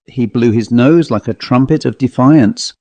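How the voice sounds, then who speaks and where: A male storyteller reads a short story in scripted narration. His pitch sits in the middle range, and the recording is dry, with hardly any room sound. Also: measured pace, a little mellow, no room sound. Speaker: audiobook narrator.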